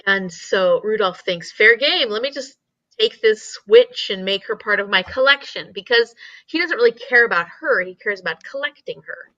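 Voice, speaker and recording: A woman with a bright voice talks as co-host of a casual book discussion. She explains in an animated way, circling back on her words for emphasis. The sound is dry and a little lo-fi. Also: mid-range voice, normal speed, no echo.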